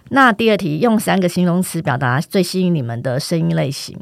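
A woman's voice: fairly low pitch, normal speed, gravelly, no echo, clean studio sound. A woman with a Taiwanese Mandarin accent hosting a chatty podcast interview. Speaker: podcast host.

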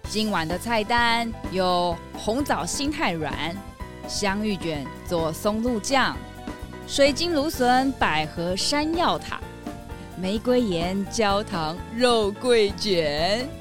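A woman's voice: medium pitch, lively intonation, slow, over music. A woman playing a courteous, dutiful cow chef who speaks formally in a children's mystery audio drama.

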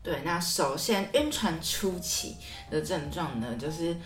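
Lower-voiced young Taiwanese-accented woman, echoey room. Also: animated, measured pace, faint music behind. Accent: Taiwanese Mandarin. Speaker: podcast co-host. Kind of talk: casual podcast chat. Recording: roomy echo.

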